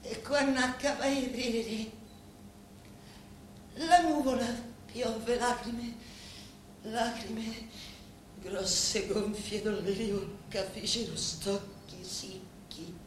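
A woman acting a grieving, vengeful daughter in a theatrical monologue, with a Sicilian accent and halting, incantatory delivery full of repeated words. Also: a little high, steady tone. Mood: dazed, mournful. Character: grieving, vengeful daughter of murdered parents, confiding in a boss. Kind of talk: theatrical dramatic monologue in dialect-laced Italian. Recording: echoey, distant, faintly noisy stage recording.

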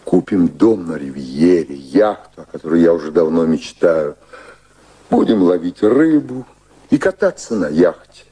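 An older, high-ranking gentleman in a radio drama, devoted and proper. His low voice is husky and swings widely in pitch. He speaks in a courtly, halting way, earnestly repeating his phrases. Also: expressive, full character voice. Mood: pleading, reassuring. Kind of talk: radio play acting.

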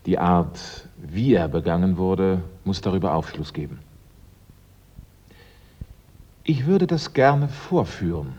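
A man playing a self-assured amateur sleuth in a German radio drama, speaking politely and deliberately. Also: sits low, animated, dark tone, breathy edge. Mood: composed, knowing. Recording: dull radio recording, faint noise.

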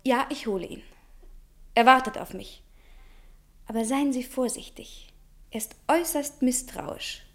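Clever young woman, high voice. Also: measured pace, long pauses. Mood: conspiratorial. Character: clever, persuasive young woman, cool scheming go-between. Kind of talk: German radio play acting.

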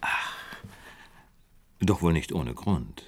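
A man playing a shrewd, self-assured gentleman detective in a German radio crime drama, with probing questions and dry amusement. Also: low voice, animated, drawn-out words, exaggerated voice.

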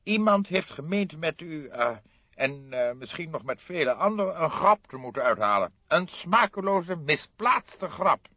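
A man's voice: on the high side, very animated, unhurried, sharp tone, no room sound, lo-fi sound, voice pushed up, exaggerated voice. A man voicing a stout, indignant notary in an audiobook, flustered and stammering with pompous formal wording. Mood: flustered, affronted. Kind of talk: audiobook character voice.

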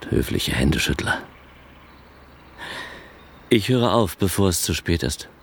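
A fussy, aggrieved official of an arts foundation in a radio play. His voice sits low and moves expressively up and down, halting as he goes. There is faint noise and ambience behind him. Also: big pitch swings, heavily acted. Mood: bitter, musing. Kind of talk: German radio drama acting.

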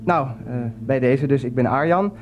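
A man's voice: medium pitch, normal speed, lo-fi sound, natural voice. A man playing a timid, overly polite lodger in a radio play, apologetic, deferential and hesitant. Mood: timid, eager.

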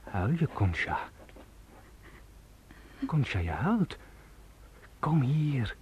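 An actor playing a tender, insistent seducer in a Dutch radio play, coaxing in a hushed, intimate manner. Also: male voice, medium pitch, big pitch swings, drawn-out words, a little hiss or hum.

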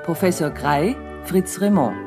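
A woman with a low voice announces radio credits. She reads formally from a script, with clear flute music playing behind her. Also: expressive, measured pace.

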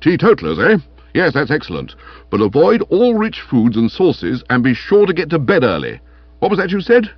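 Comic storybook role, played by a man: stern policeman, accusing and peevish, raised animated pitch, slightly muffled audio. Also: normal speed, husky, no echo, heavily acted. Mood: bossy, peevish. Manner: hectoring, morose, clipped pronouncements. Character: stern bullying young constable, pompous, peevish and accusing.

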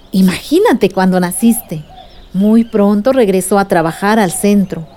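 Actress as a soft-toned, caring storytelling aunt. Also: female voice, medium pitch, natural voice. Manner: reassuring, patient storytelling. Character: caring aunt telling her niece family history. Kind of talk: radio drama dialogue.

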